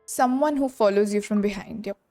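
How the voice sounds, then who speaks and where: A woman streaming a game, chatting casually with relaxed, offhand commentary. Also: medium pitch, brisk, faint music behind. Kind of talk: casual live reaction chat.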